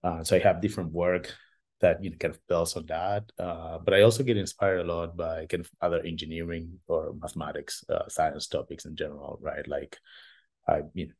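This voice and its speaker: A man from Argentina answering casually as a podcast guest, halting and thinking aloud as he goes. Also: low voice, fairly even intonation, a little mellow. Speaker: podcast guest, engineer and artist.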